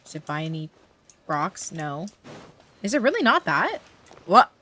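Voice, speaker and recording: A woman's voice: deep voice, unhurried, soft background music. A woman game streamer giving casual, playful reactions as she plays. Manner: playful, expressive.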